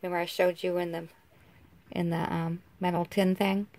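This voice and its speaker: A woman in her fifties with an American accent, chatting casually into a handheld phone camera, folksy and quick to laugh. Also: fairly low pitch, level tone, darkish tone. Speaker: vlogger. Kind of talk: casual vlog chat. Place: inside a vehicle.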